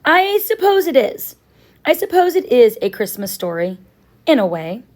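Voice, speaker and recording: A woman voicing a quiet, reserved, sorrowful older male professor in a children's novel, hesitant and reflective. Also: high-pitched, very animated, moderate pace. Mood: hesitant, grave.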